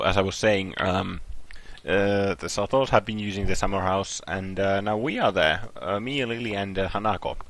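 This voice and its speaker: A sleep-deprived young man hosting a lets play, talking slowly with a raspy voice and rambling with lots of fillers. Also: deep voice, a bit bright. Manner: slow, rambling, full of fillers and restarts. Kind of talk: casual gaming commentary.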